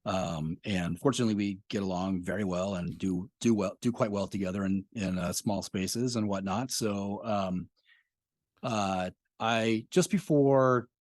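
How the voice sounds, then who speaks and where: A man's voice: lowish voice, fairly even intonation, measured pace, darkish tone, no echo, clean studio sound. A man in his fifties, a podcast creator talking as a guest in a casual interview, rambling with many fillers.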